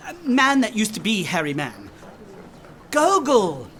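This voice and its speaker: A woman playing a cheerful, excitable detective comrade in a radio drama, exclaiming in a bubbly way. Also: medium pitch, a little slow, a little hiss or hum. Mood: excited, jovial.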